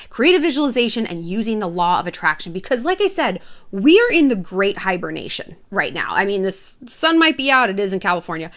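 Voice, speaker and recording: A woman's voice: on the high side, fairly bright tone. Casual, sassy woman vlogger. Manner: chatty, sassy and irreverent, swears freely. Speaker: host of an online video channel. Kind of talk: casual, gossipy commentary to camera.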